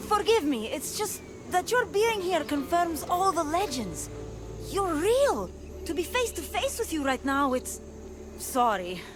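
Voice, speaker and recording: An anxious, starstruck young woman in a video game who gushes and pleads breathlessly. Her voice sits very high and leaps about, with soft music and chirping insects behind. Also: sing-song, measured pace, heavily acted. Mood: gushing.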